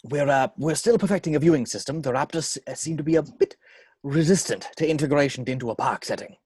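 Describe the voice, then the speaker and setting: A man playing a jovial, boastful older theme park owner in a script table read, with theatrical, halting delivery. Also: on the high side, a little mellow, breathy edge, no room sound, studio quality. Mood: reassuring.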